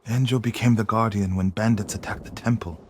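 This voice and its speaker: An actor playing an earnest, stubborn, devoted warrior monk in a dramatic video game, speaking gravely. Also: male voice, lowish voice, fairly even intonation, brisk, darkish tone, lightly acted.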